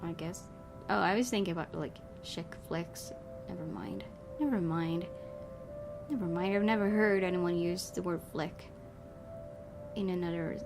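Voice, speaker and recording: Woman reading a game aloud over music. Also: mid-range voice. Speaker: let's play host. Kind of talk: reading a horror visual novel aloud with casual commentary.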